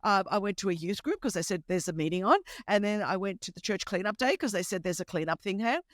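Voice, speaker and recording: A woman in her fifties with an Australian accent whose first language is Greek, telling a lively, humorous personal story as a podcast host. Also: medium pitch, quick pace, no room sound, studio quality. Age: 50s. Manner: animated, emphatic, humorous storyteller. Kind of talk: conversational testimony storytelling.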